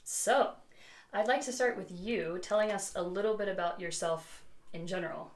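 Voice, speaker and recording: A woman's voice: mid-range voice, normal speed. A woman hosting a travel podcast interview, eager and chatty as she introduces and questions her guest. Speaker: podcast host. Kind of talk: casual interview chat.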